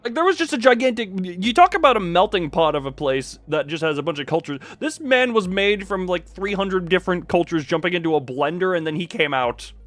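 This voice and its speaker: A man doing livestream commentary over a story game, reading it aloud dramatically with animated, incredulous asides and some swearing. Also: sits high, fairly quick, hardly any pauses, no echo, soft background music.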